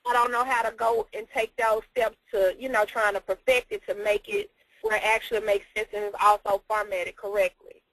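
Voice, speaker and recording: A woman student speaks informally in a slightly high, dry-sounding voice. She is unsure and apologetic, circling around her questions as she asks for help. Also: animated, a little fast, creaky, a bit bright, no room sound, rough recording. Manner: hesitant, unsure, apologetic, rambling. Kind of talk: informal question on a class call.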